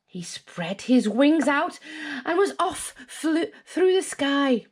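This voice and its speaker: A woman's voice: high-pitched, very animated, slow pace. A woman with a British accent reading a children's story expressively, dramatically drawing out words. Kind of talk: expressive scripted storybook narration.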